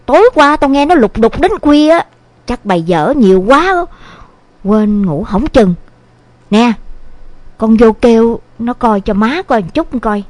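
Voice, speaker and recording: A woman's voice: fairly high pitch, animated, unhurried, darkish tone, dry recording. Casting: fiery middle-aged village mother played by a woman, southern Vietnamese accent, swooping delivery, scolding and exasperated, dry audio-story recording. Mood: brisk questioning. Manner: scolding, exclamatory, dramatic. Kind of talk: dramatised audio story acting.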